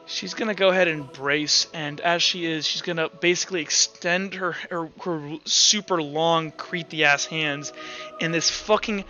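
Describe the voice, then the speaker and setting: Male game master, higher-pitched and forceful, casual and sweary, slightly dull recording with music underneath. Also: steady tone, unhurried. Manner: casual, profane. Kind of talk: narrating and refereeing an online tabletop game.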